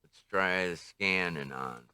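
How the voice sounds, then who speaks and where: Older man, deep pitch, bright forceful tone, casual reviewer, tentative, thinking aloud. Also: takes it slowly. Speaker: radio reviewer. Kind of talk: casual hands-on product review.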